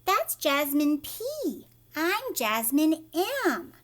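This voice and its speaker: A woman's voice: high voice, very animated, drawn-out words, exaggerated voice. A woman voicing a breezy, friendly young schoolgirl in a children's storybook, chirpy and matter-of-fact.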